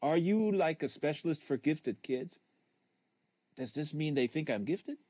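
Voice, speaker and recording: A man's voice: on the high side, lively intonation, unhurried, darkish tone, no echo. A man voicing a precocious, wary ten-year-old boy in an audiobook, hesitant and pedantically exact. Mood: earnest, inquiring.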